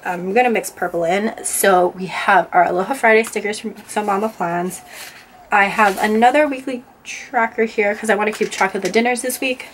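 A woman's voice: medium pitch, normal speed, a little hiss or hum, rough recording. A woman getting over a cold, sounding nasal, chatting casually with lots of ums as she walks through her planner stickers. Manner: chatty, rambling, many ums. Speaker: planner vlogger. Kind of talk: casual plan-with-me narration. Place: indoors at a desk, overhead camera.

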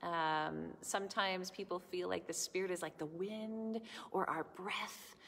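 A middle-aged woman giving a children's sermon in a large church sanctuary, speaking gently and explaining things to children. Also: on the low side, steady tone, unhurried. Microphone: camera in front, at close range. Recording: long church reverb.

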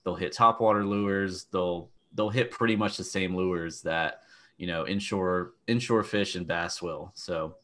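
Deep-voiced young man, practical advice. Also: steady tone, unhurried, a bit bright. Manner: plain, practical explaining. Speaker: fishing coach. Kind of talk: casual panel chat. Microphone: video call.

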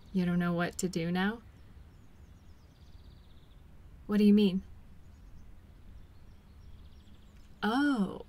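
A woman playing a caring, patient girlfriend in an intimate role-play audio, speaking gently and reassuringly to comfort her partner. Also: medium pitch, close to an everyday voice. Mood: affectionate, reassuring.

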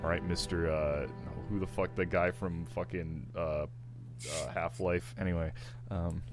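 A man's voice: sits low, fairly even intonation, a little slow. A man reading scripted narration aloud as narrator of a fan fiction story, with casual asides. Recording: loud background music. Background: music.

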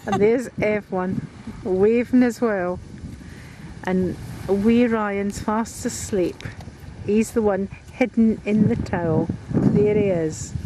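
A woman's voice: mid-range voice, slow pace. A woman filming her family at the seaside, chatting and laughing her way through a casual home-video commentary. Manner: chatty, amused, laughing often. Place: pebble beach by the sea, outdoors. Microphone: handheld camcorder mic. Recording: camcorder with wind and surf noise.

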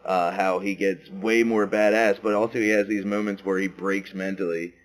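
A man co-hosting a podcast in a casual chat, laid-back and quick to laugh, with lots of fillers. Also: sits low, level tone, low-quality recording. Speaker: podcast co-host. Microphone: podcast microphone.

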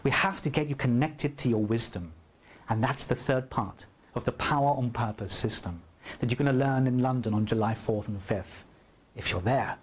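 A man in his forties giving an upbeat, persuasive promotional pitch to camera in an indoor room, on a lapel mic. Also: moderate pace, slightly rough. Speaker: course presenter. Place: indoor room by a flip chart.

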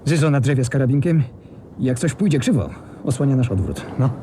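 An actor playing a tough, terse gang lieutenant giving commands in a radio crime drama. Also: male voice, medium pitch, sing-song, fast talker, natural voice. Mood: commanding.

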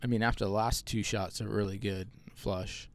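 A man's voice: on the low side, fairly even intonation, normal speed. A man co-hosting with casual commentary, chiming in agreeably as he goes. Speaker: co-host, bowler.

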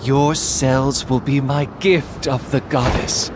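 An actor playing a calm, theatrical villain in a video game, reciting prophecy in a solemn, poetic way. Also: male voice, mid-range voice, noisy background, lightly acted. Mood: cold, menacing. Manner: slow, solemn poetic delivery. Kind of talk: dramatic video game voice acting. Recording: orchestral game music behind voice. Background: game music.

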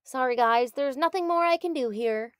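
A woman voicing a kindly, tired male porcupine doctor in a children's storybook, gentle and earnest. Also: high-pitched, moderate pace, clear, resonant tone, dry recording, studio quality, pitched above the performer's own voice, close to an everyday voice. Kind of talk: children's storybook read-aloud.

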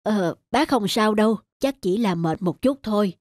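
A man's voice: high voice, lively intonation, normal speed, dry recording, studio quality. A man voicing a tired, kindly older farmer in a children's cartoon, earnest and plain-spoken. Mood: weary.

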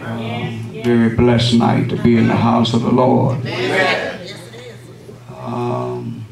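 Gravelly-voiced preacher in an echoey church. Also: male voice, mid-range voice, completely flat delivery, crowd noise. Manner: measured preaching cadence with frequent amens. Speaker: visiting pastor. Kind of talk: sermon opening and prayer. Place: wood-paneled church sanctuary. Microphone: lectern microphone, camera at back of room. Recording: echoey room, distant camera, congregation responses.

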